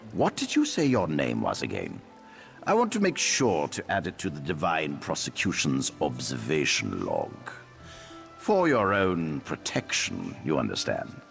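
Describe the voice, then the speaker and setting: A man's voice: low-pitched, huge pitch swings, unhurried, gravelly, fairly bright tone, exaggerated voice. An actor playing a haughty, condescending male elf noble in a fantasy video game, who speaks with pompous, sneering politeness. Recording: game audio with faint music.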